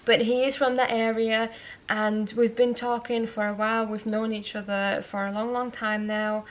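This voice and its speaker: An Austrian woman with a Yorkshire-tinged English accent, answering viewer questions in a casual vlog, talking thoughtfully with fillers and soft laughs. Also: fairly high pitch, flat delivery, moderate pace. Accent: Yorkshire-tinged English picked up as an Austrian speaker. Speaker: knitting vlogger.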